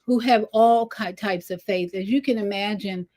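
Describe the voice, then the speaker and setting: A woman's voice: medium pitch, moderate pace, a little mellow. Woman host speaking earnestly and conversationally. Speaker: show host. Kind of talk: informal monologue to viewers and interview.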